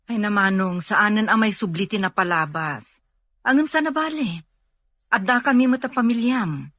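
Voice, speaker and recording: A woman playing a concerned, gently probing younger sister in an audio drama. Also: mid-range voice, expressive, moderate pace, no room sound, studio quality. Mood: concerned, gentle. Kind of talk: amateur Filipino audio drama acting.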